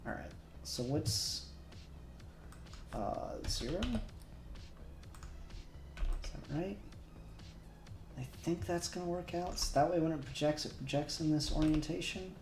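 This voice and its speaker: Slow, muttering man streaming his modelling work. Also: fairly high pitch. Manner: self-conscious, muttering to himself as he works. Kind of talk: casual live-stream talk while 3D modelling. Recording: echoey room, faint music behind.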